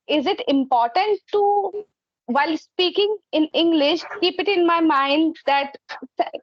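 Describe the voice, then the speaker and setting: A woman's voice: high-pitched, no echo. A woman whose first language is Hindi, an English learner, speaking hesitantly and searching for words. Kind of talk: casual conversation practice. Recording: dry, dull lo-fi audio.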